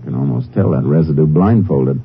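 Deep-voiced laconic Texas Ranger. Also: male voice, fairly quick. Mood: calm, dry. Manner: laconic, unflappable. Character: calm, capable Texas Ranger investigator. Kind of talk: 1950s radio crime drama acting. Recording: muffled, hissy old radio recording.

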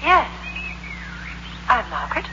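A suspicious young lady in an old radio thriller, high lively voice, bit noisy and muffled. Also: slow pace, exaggerated voice. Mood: wary, staring. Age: young adult. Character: frank, suspicious, bold young woman motorist. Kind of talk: 1960s radio suspense drama acting. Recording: muffled old radio recording, faint noise.

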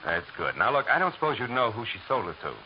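An actor playing a wry, hard-boiled private eye who narrates with sardonic wisecracks, in a 1940s radio drama. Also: male voice, mid-range voice, very animated, fast talker, close to an everyday voice. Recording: noisy lo-fi old radio recording.

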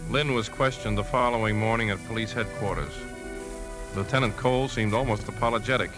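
Male actor doing earnest determined guy in a 50s radio drama, low voice, talks fast, music behind. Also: lightly acted. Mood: measured narration. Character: earnest, determined uncle investigating his niece's poisoning. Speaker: lead actor and first-person narrator. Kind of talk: 1950s radio drama acting. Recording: 1950s radio broadcast with music.

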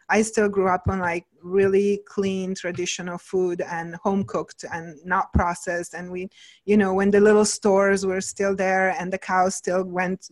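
Italian woman nutritionist, middle-aged, casual and rambling interview guest. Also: medium pitch. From Italy. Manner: chatty, many fillers like "like" and "you know".

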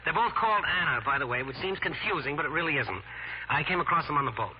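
A muffled, noisy vintage radio broadcast carries a henpecked grown son, played by a man. He talks quickly in a raised register, with nervous, exasperated phrasing and the broad style of 1940s radio acting. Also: fairly high pitch, expressive, natural voice. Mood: flustered. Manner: hurried, stammering, nervous phrasing.

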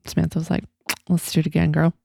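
A woman's voice: low voice, monotone, quick pace, vocal fry, mellow, dry recording, studio quality. A woman from Massachusetts hosting a podcast, talking in a rambling, casual way that mixes in frequent laughs and casual swearing. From Massachusetts, USA. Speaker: podcast host.

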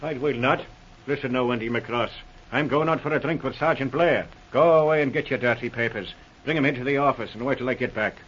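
A man's voice: mid-range voice, a little fast, a bit bright, a little hiss or hum, close to an everyday voice. An actor playing a humble, earnest working man in a 1940s radio drama, flustered and stammering. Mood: defiant, loyal. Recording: dull lo-fi vintage radio recording.

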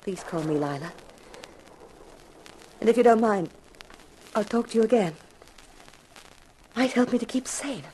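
An actress playing a frank, self-possessed young widow confiding intimately in a detective in a 1950s radio mystery. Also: female voice, fairly high pitch, lively intonation, a little slow, pauses often, warm tone, a little hiss or hum, poor audio. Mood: confiding, inviting. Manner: candid, intimate.

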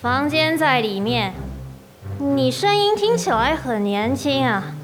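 A woman's voice: high voice, animated, slow pace. A woman doing a sly blind old landlady. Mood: coaxing. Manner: shifts from frail chatter to sharp indignation. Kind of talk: amateur radio drama acting.

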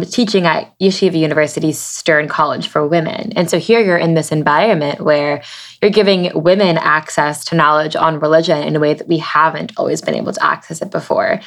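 A woman hosting an interview, upbeat and enthusiastic as she introduces her guest and asks questions. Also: low-pitched. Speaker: interview host.

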